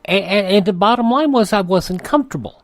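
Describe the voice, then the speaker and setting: A man's voice: sits high, expressive, brisk. An older man hosting a radio show, reading an ad in a rambling, folksy, conversational way. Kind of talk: conversational ad read and show introduction.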